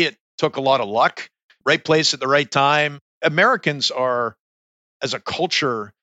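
A Canadian man in his fifties speaks as a CEO guest on a business podcast. His tone is bright and forward, and he sounds upbeat and earnest, often restarting a phrase. The recording is dry and close to the mic. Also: mid-range voice, dry recording. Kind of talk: podcast interview.

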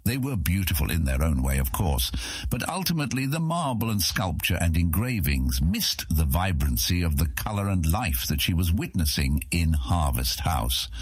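A man's voice: low-pitched, slightly rough, no echo. A man reading a fantasy audiobook as its scripted narrator.

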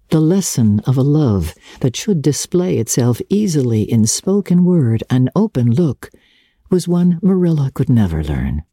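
A woman reading classic fiction as an audiobook narrator, in a measured, expressive and dramatic storytelling manner. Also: very deep voice, slightly rough, dry recording, studio quality.

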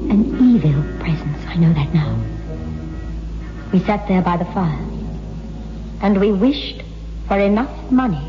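A dull vintage radio recording with clear music behind. A woman plays a troubled wife in a horror serial, practical and coaxing, her voice fairly high. She speaks with dramatic foreboding. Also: natural voice. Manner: dramatic, foreboding narration and pleading dialogue.

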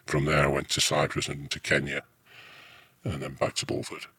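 A man's voice: a bass voice, monotone, normal speed, sharp tone, breathy edge. A British man, middle-aged or older, an army veteran telling rambling, earthy anecdotes casually in an interview. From United Kingdom.

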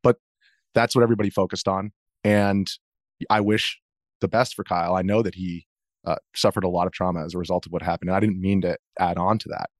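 An American man speaking as an interview guest, earnestly explaining his perspective in halting conversational phrases. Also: low voice, fairly even intonation, no room sound, clean studio sound. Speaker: interview guest, video journalist.

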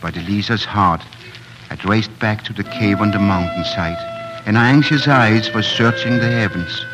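A man's voice: lowish voice, moderate pace, low-quality recording. A man narrating a fairy tale in solemn, lyrical 1940s radio drama style. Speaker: storyteller narrator. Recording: old radio recording with music behind.

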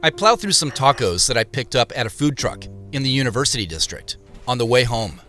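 A man narrating a scripted audio drama in the first person as a reflective investigator, with measured, descriptive storytelling. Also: mid-range voice, animated, bright, forceful tone, soft background music. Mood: subdued, pensive. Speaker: narrator and lead character.

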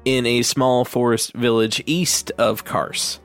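A man's voice: medium pitch, steady tone, slow, fairly bright tone, faint music behind. A man playing a tabletop game, explaining his character's backstory casually, with a lot of fillers. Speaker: player.

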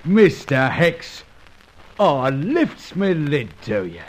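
Slow-talking, high-pitched rough sidekick. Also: male voice, sing-song. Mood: amused, admiring. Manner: laconic, colloquial. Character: rough, easygoing, loyal working-class male sidekick. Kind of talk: vintage radio adventure serial acting.

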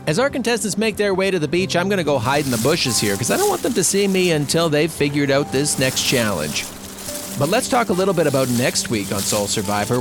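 An actor playing an excitable, showy reality show host giving playful, suspenseful commentary. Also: male voice, on the high side. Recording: music bed under the voice.

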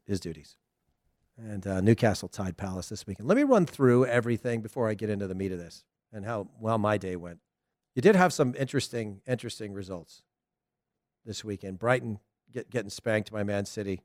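A middle-aged man with a Southern American accent, hosting a casual soccer talk show, chatty and animated with frequent laughs. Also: fairly low pitch, no echo, clean studio sound. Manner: chatty, animated, laughs often, loose restarts. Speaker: soccer podcast host. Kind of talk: casual solo commentary.

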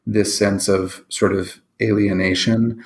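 A man's voice: lowish voice, little pitch movement, slow. A man hosting a podcast who asks questions in a reflective way, with hesitant phrasing. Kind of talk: podcast interview.

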